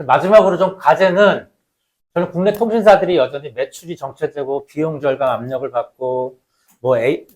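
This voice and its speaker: A man's voice: on the high side, moderate pace. A man giving an explanatory lecture as a researcher, emphatic and repeating his key points.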